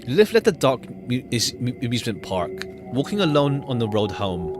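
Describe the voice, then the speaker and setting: A man's voice: mid-range voice, very animated, fairly quick, over music. A man streaming a visual novel, reading the game text aloud and adding casual commentary.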